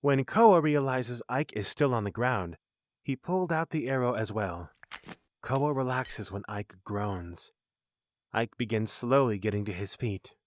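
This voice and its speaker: A man's voice: mid-range voice, lively intonation, a little slow, no echo. A man reading scripted narration for a fantasy audio drama.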